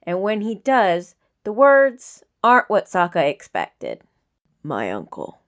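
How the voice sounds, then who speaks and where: A woman's voice: medium pitch, sing-song, takes it slowly, fairly bright tone. A woman reading scripted fiction as an audiobook narrator.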